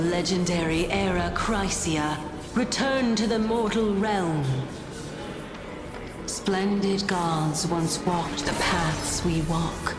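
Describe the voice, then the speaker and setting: A woman voicing a proud, haughty sage in a video game, speaking formally and theatrically. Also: fairly low pitch. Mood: wistful chanting. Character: proud, haughty female sage, scornful of exercise. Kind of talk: scripted game voice acting, theatrical. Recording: echoing voice over music. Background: music.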